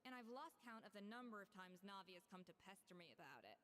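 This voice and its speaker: A woman's voice: medium pitch, lively intonation, brisk, a bit bright, slight background noise, natural voice. A woman playing a proud, exacting young head chef in a video game, brusque and exasperated but secretly kind. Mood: matter-of-fact, rueful. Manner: crisp, brusque, exasperated delivery. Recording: game music behind the voice. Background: background music.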